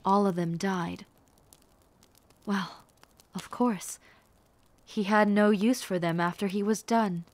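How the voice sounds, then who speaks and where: A woman playing a bitter, haughty, scheming rebel warlord princess in a scripted roleplay, speaking formally and deliberately with theatrical delivery. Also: mid-range voice, steady tone, darkish tone, close to an everyday voice. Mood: bitter, scornful. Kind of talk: scripted roleplay monologue to the listener.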